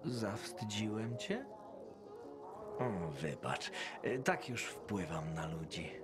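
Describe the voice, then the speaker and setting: Casting: flirtatious, vain game schemer, theatrical and smooth-talking, very animated pitch, loud music bed. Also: male voice, takes it slowly. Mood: teasing, flirtatious. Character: flirtatious, vain, worldly male post-apocalyptic schemer. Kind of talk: video game cutscene acting.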